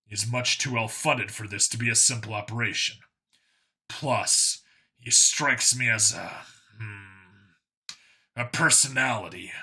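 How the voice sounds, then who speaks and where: A man's voice: lowish voice, a little slow, long pauses, sharp tone, breathy edge, heavily acted. A man voicing a blunt, sardonic human cop who is plain-spoken and self-assured in an audiobook story. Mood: thoughtful.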